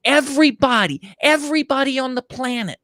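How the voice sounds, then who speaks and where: A man with a high voice for a man, telling stories as an interview guest with emphatic, animated delivery and frequent restarts. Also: fairly quick, no echo, studio quality. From United States. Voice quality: higher-pitched male voice. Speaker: podcast guest. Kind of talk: enthusiastic interview storytelling.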